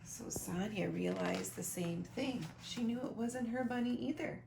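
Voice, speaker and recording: A woman reading a children's picture book aloud expressively, pausing to talk directly to young listeners about the pictures. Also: fairly high pitch, gravelly. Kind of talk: animated children's storybook read-aloud with asides. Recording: roomy echo, faint background noise.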